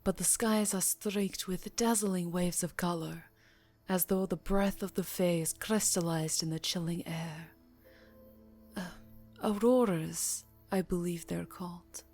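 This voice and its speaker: An aristocratic vampire countess acted by a woman speaks in a fairly low, soft and breathy voice, very close to the microphone. Her pace is unhurried and languid, formal yet seductive, often slipping toward a whisper. It is an intimate ASMR roleplay with clear music underneath. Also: steady tone. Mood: indulgent, wistful.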